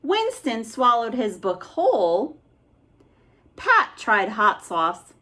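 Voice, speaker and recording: Woman reading a bedtime story, lively pitch. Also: slow. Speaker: children's storybook reader. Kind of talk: expressive bedtime storybook narration.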